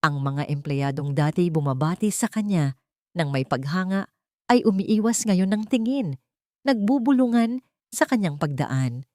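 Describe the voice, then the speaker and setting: A woman's voice: lowish voice, animated, a little slow, warm tone, no room sound, clean studio sound. A woman with a Filipino accent reading a scripted, dramatic romance story as its narrator.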